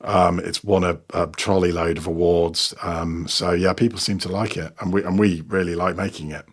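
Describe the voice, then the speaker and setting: A man talking casually as an interview guest, haltingly, often repeating words as he starts a phrase. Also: deep voice, level tone. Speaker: guest, sound designer and producer.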